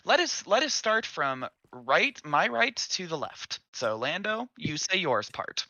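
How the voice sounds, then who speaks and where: A man's voice: a little high, very animated, unhurried, pushed and bright, dry recording. A man moderating a casual roleplay debate with a sore throat, flustered as he tries to keep everyone in order.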